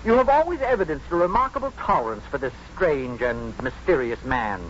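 Male actor as accusing, formal professor, 1950s radio play. Prosecutorial, lively intonation, faint noise. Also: fairly high pitch, brisk, natural voice. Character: pompous, accusing male professor, prying and indignant. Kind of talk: 1950s radio drama acting. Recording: muffled, noisy 1950s radio broadcast.

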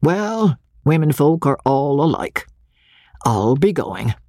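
A woman's voice: deep voice, big pitch swings, slow pace, warm tone, no room sound, clean studio sound, pitched above the performer's own voice, heavily acted. Woman acting a stern, folksy small-town sheriff. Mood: stern warning.

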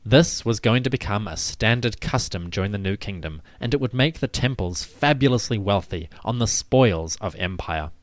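A man hosting and narrating a scripted history piece, with measured, emphatic storytelling. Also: lowish voice, lively intonation, no echo. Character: commanding victorious pharaoh addressing his army. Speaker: podcast host and narrator.

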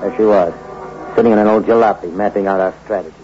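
A man's voice: lowish voice, fairly even intonation, quick pace, close to an everyday voice. Guy acting a tough greedy crook on the run, noir radio storytelling, muffled old recording, music behind. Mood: wry, wary. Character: tough, greedy male fugitive narrating his crime tale. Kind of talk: hard-boiled 1960s radio suspense narration and acting.

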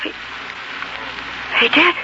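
A woman's voice: slow pace, bright, forceful tone. An old, noisy radio recording with muffled fidelity carries a young frontier wife. She is skittish and earnest, speaking with tremulous feeling in the dramatic manner of 1940s radio. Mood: anxious, contrite.

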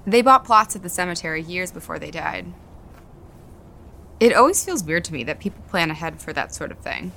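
A woman's voice: on the low side, animated, brisk, bright, forceful tone, heavily acted. An actress playing a sassy, guarded farm woman, casual and naturalistic, in an audio drama. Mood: reflective. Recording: clear outdoor background noise. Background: outdoor park ambience.

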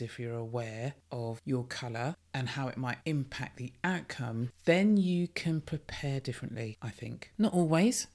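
A middle-aged British woman with a deep, strong, commanding voice, speaking candidly and emphatically to listeners in a personal development podcast monologue. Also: a little mellow. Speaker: podcast host.

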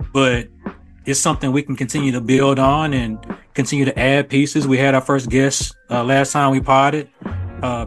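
An American man talking casually and thoughtfully on a podcast, explaining himself at length in measured phrases. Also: medium pitch, a bit bright. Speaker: podcast co-host appearing as guest. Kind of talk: casual reflective podcast conversation.

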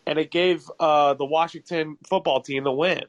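Higher-pitched man talking sports casually. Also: fairly even intonation, normal speed, lo-fi sound. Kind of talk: casual fan recollection.

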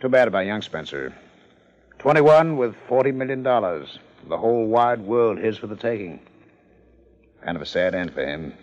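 A man's voice: on the low side, big pitch swings, normal speed, muffled recording. An American man acting a shrewd, reflective police lieutenant in a 1950s radio drama, conversational and musing. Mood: regretful.

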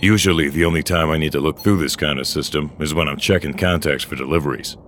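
A world-weary old cyborg man in a science-fiction audio drama. His voice sits very low, and he talks bluntly with gruff, dry wit. A faint bed of noise lies behind him. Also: quick pace, fairly bright tone. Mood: matter-of-fact narration. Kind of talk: audio drama dialogue and first-person narration.

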